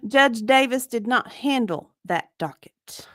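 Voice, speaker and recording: A woman's voice: a little high, animated, slow pace, a bit bright, no echo, clean studio sound. A woman reading the part of a guarded, evasive witness in a dramatic deposition reading, with careful, hedging answers. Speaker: dramatic reader.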